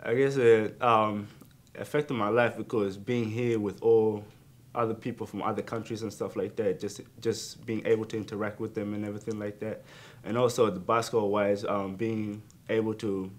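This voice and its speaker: A teenage boy from Australia, a student athlete, answering an interview hesitantly with many fillers. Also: lowish voice. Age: teens.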